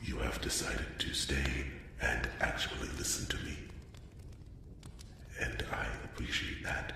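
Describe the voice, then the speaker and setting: A man's voice: extremely low pitch, vocal fry, heavily acted. A man playing a lonely, awkward dwarf blacksmith in a fantasy ASMR roleplay, rambling and stammering earnestly as he tries to make a friend. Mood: wistful, grateful. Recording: echoey, distant, noisy with clicks.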